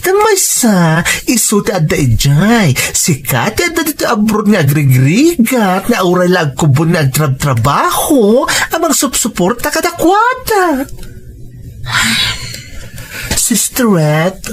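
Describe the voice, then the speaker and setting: An actress playing a frank, caring female friend who gives firm advice, with an Ilocano accent, in an audio drama. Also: medium pitch. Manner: admonishing, questioning, pleading. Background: faint music.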